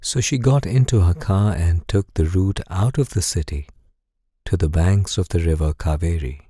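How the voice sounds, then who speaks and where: A man gently and calmly narrating a scripted bedtime story for guided sleep meditation, soothing and unhurried. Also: deep voice, breathy edge, no echo, clean studio sound. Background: music.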